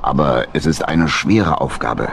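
An actor playing a good-hearted, cautious old badger uncle and boat captain in a children's audio play, earnest and deliberate. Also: male voice, extremely low pitch, expressive, gravelly, noisy background, full character voice. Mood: worried. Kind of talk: German children's radio play acting.

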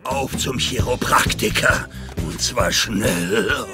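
A man playing a doddery, forgetful, bombastic old superhero with a creaky voice in a German cartoon audio drama. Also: lowish voice, slow pace, music in the background.

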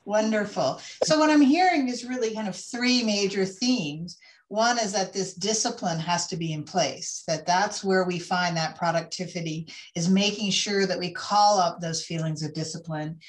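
A woman moderating a panel, encouraging the guests and summing up their points before asking the next question. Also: on the low side. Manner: encouraging, sums up the speakers' points.

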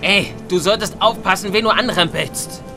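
A man's voice: high voice, normal speed, voice pushed up. A man playing a cocky young street loser picking a fight in an audio drama. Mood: defiant. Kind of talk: audio drama character acting. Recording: music under the voice.

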